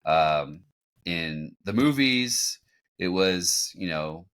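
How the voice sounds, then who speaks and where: Male podcast host, low voice, halting conversational storyteller, casual recap commentary. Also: animated, fairly bright tone, clean tone. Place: home room, on a group video call.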